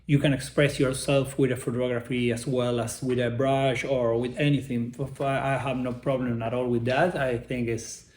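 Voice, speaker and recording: A man's voice: medium pitch, flat delivery, darkish tone, reverberant, a little hiss or hum. A middle-aged man from Argentina with an Argentine Spanish accent, speaking English as a second language and searching for words in a casual interview. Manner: halting, searching for English words, many restarts. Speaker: interview guest, artist.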